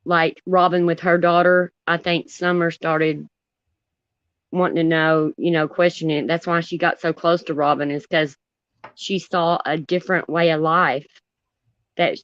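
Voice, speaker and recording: A chatty woman calls into a live chat and gives her opinions plainly. Her voice sits a little low, and her audio is dry and dull, like a lo-fi call. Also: flat delivery, a little slow, a bit bright, dry recording. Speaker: guest caller. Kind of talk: casual chat.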